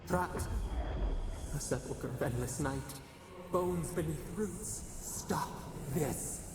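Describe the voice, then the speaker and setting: Casting: haunting imprisoned spirit entity, cryptic and fragmented, very creaky, cavernous far-off reverb, game cinematic. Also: medium pitch, takes it slowly, noisy background. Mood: anguished. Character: trapped, frightened, cryptic ancient spirit entity. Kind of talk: scripted dramatic game acting. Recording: cavernous reverb, distant, music behind.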